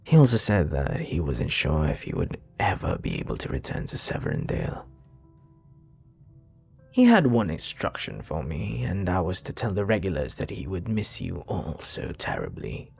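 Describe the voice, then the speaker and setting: A man playing a charming, courteous, flirty vampire bartender in an intimate ASMR roleplay, speaking in a breathy, gravelly voice that often drops to a whisper. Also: deep voice, very animated, a little mellow, faint music behind, heavily acted. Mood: soft, sympathetic. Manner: suave, courteous, teasing, unhurried.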